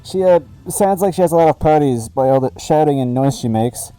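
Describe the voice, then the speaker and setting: A man voicing a small, jittery but laid-back male minion creature with a loud, high, excitable voice. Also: fairly quick, clean tone, no echo, close to an everyday voice, low-quality recording. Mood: nervous.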